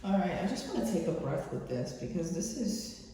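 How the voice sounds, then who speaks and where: A woman host giving an intuitive love reading off the cuff, halting and drawn out, with pauses. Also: lowish voice, sing-song, warm tone. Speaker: tarot reader, channel host. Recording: very echoey room, faint background noise.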